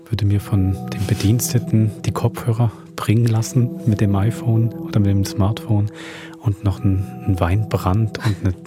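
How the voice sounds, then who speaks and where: A man's voice: lowish voice, flat delivery, normal speed. A man hosting and introducing a podcast, with music behind his voice. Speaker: podcast host and producer.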